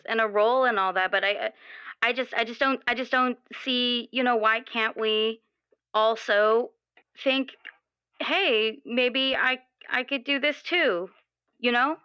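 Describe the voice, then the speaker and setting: A woman playing an earnest, questioning young character in improvised roleplay, speaking haltingly with many repeated words. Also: a little high, pushed and bright, smooth, no echo, highs cut off. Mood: wistful, unsure. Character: earnest, questioning twenty-year-old, restless with their assigned role. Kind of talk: improvised actual-play roleplay dialogue.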